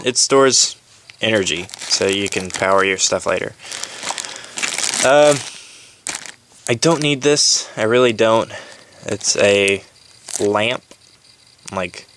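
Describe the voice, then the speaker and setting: A man giving a casual, unscripted walkthrough in a halting, informal way, as if thinking aloud, indoors on a handheld camera. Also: lowish voice. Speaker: hiker presenting his gear. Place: indoors in a room at home. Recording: background hiss, handling clicks and crinkling.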